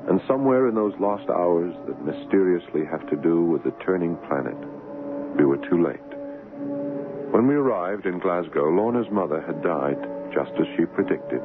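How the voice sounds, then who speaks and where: Deep-voiced, earnest American husband. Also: male voice, expressive, normal speed, over music. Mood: somber, recounting. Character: devoted American husband recounting a haunted tale. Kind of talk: old radio drama acting and narration. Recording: noisy, narrow old radio broadcast.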